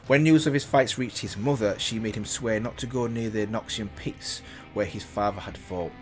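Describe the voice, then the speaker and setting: A man's voice: lowish voice, moderate pace. Man reading aloud over music. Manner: reads aloud with frequent slips and self-corrections. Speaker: reaction video host. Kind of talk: casual reading aloud with commentary.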